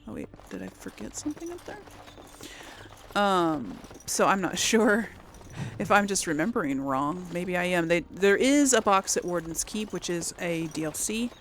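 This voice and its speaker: A woman's voice: fairly low pitch, expressive, normal speed, a little hiss or hum. A woman giving casual let's play commentary, musing aloud and rambling to her viewers. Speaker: gaming video host.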